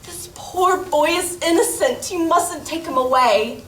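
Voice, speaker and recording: A woman plays a kindly, indignant bystander in a staged radio drama. Her voice is high. The recording is roomy and distant with faint noise. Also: unhurried, a bit bright. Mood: earnest. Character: kindly, indignant female street witness. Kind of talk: stage radio play acting.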